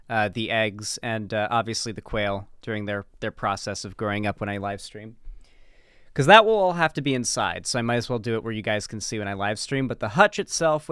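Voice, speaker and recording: A man's voice: medium pitch, fairly bright tone, smooth, no room sound, studio quality. A man hosting a livestream, talking in a casual, informal and chatty way. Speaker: livestream host. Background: background guitar music.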